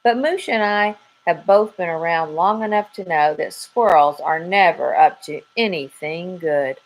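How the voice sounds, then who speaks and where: A woman's voice: fairly low pitch, measured pace, poor audio. Woman voicing a wisecracking yard dog. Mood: wry. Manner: wry, offhand first-person storytelling. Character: bored, wisecracking male yard dog narrating.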